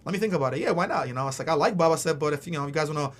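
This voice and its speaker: A man's voice: fairly high pitch, animated, rapid-fire. A man who grew up in Philadelphia after early years in Albania, a mixed martial arts fighter talking casually as a podcast guest, rambling with many fillers. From Albania, raised in Philadelphia. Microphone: studio podcast mic.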